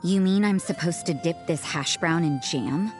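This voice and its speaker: Woman as low-voiced, aloof young churchwoman. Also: normal speed, a little mellow, soft background music, natural voice. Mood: annoyed, dismissive. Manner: dry, unimpressed, languid. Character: cool, aloof, world-weary young churchwoman. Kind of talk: video game character voice acting.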